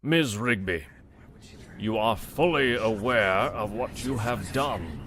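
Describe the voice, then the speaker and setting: A man's voice: lowish voice, animated, slow, fairly bright tone, slight background noise. A man playing a stern judge, formal and admonishing, in an amateur comic dub. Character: stern male courtroom judge. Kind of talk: amateur fandub voice acting.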